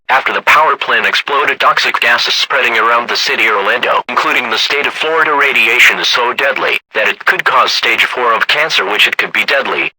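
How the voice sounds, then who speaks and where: A man's voice: medium pitch, pushed and bright, slightly rough, dry recording, low-quality recording. A man's synthetic-sounding voice reading a scripted emergency broadcast announcement.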